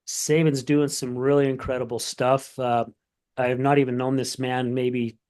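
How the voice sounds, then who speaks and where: A man's voice: mid-range voice, flat delivery, normal speed, darkish tone, smooth. Earnest man hosting a podcast. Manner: friendly, earnest, conversational. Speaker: podcast host. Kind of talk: podcast hosting and sponsor read.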